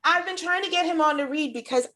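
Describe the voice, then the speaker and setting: A woman's voice: extremely high pitch, quick pace, bright, forceful tone. A woman hosting a casual, enthusiastic interview over a video call. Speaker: host, children's book author.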